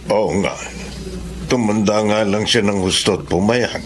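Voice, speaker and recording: An actor playing a steady, reassuring middle-aged husband and father in a Tagalog radio drama. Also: fairly low pitch, measured pace, background noise, close to an everyday voice.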